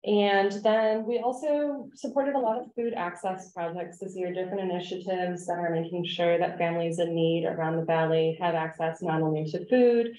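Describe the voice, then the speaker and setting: A woman with a somewhat low voice explains community programs at a co-op's annual meeting. She talks steadily, with a few ums and restarts, and the room gives her voice a clear echo. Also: steady tone, moderate pace, hardly any pauses, warm tone. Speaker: co-op staff presenter. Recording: echoey room.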